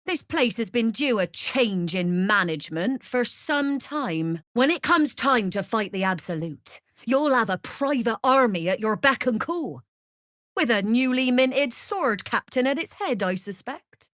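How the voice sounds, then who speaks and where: A woman's voice: a little high, expressive, measured pace, a bit bright, dry recording, clean studio sound. An actress playing a scheming, commanding female mercenary leader in a video game, persuasive and calculating. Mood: smug, persuasive. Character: scheming, smooth-talking female mercenary leader plotting a takeover. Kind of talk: video game character acting.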